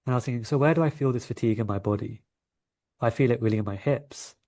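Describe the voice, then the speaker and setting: A man with a London accent speaks at an ordinary middle pitch. He talks conversationally, as a guest sharing personal stories in an interview. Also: fast talker, dark tone. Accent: London English. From London, England. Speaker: interview guest, coach and energy healer.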